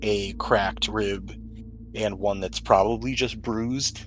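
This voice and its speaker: A man acting as game master, narrating and explaining rules in a measured, explanatory way. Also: on the low side, steady tone, rough recording.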